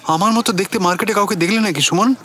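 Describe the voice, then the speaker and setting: High, indignant, bewildered relative. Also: male voice, fast talker, sharp tone. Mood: anxious, insistent. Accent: West Bengal Bengali. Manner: incredulous, repetitive questioning. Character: bewildered, suspicious, indignant brother-in-law grilling a stranger. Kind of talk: radio drama acting.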